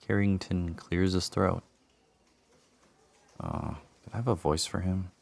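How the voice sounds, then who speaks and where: A man with a deep voice plays through a game as host. His commentary is casual and conversational, and he reads on-screen text aloud as he goes. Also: level tone, takes it slowly, vocal fry, darkish tone, dry recording. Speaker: let's play host.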